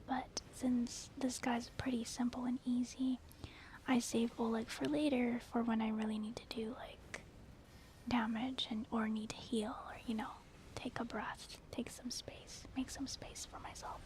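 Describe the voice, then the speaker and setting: A woman whispering soft-spoken ASMR commentary over a video game as the host. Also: on the high side, little pitch movement, measured pace, a little hiss or hum.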